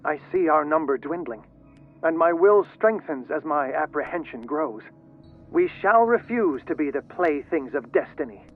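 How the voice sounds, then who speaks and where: A man's voice: on the high side, animated, normal speed. Tinny radio-filtered solemn scholarly man. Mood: solemn, confessional. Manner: formal, literary. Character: solemn scholarly male letter-writer, obsessed with occult secrets. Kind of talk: dramatic letter reading in a video game. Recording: tinny, narrow radio-like sound.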